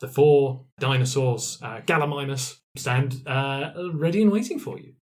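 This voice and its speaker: A man's voice: medium pitch, animated, measured pace, darkish tone. A man narrating an improvised tabletop fantasy game as game master, in a playful, hesitant way.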